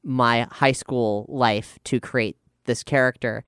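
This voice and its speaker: A man's voice: mid-range voice, fairly even intonation, takes it slowly, a bit bright, clean tone, no room sound, studio quality. A man talking casually and wryly as a player on a role-playing show. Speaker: player and performer on an actual-play show. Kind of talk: casual conversational banter and description.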